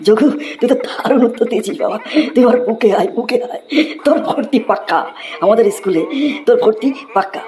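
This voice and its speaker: An actor voicing a theatrical, excitable schoolteacher who scolds and gushes in a comedy cartoon. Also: medium pitch, fast talker, close to an everyday voice. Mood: gushing delight. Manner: theatrical, swinging from scolding to gushing praise. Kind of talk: exaggerated Bengali cartoon comedy dubbing.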